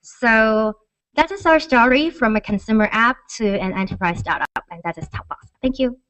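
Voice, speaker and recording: A woman's voice: a little high, moderate pace, fairly bright tone, slight background noise. A woman speaking as a startup founder, presenting and explaining at a conference. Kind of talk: conference pitch and question answering. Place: conference stage. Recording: dull, lo-fi event recording.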